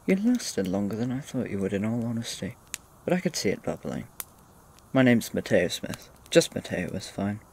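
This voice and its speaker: A man playing a mysterious, formally courteous gentleman in an audio drama, dry, unhurried and theatrically teasing. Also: on the low side, sing-song, slight background noise. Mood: amused, polite. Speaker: podcast drama actor.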